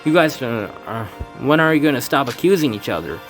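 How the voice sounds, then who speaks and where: A man's voice: medium pitch, big pitch swings, a little fast, music in the background. A man reading a fan game aloud, voicing its characters with casual asides and stumbles. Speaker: let's play fan dubber. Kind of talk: casual game playthrough with voiced dialogue.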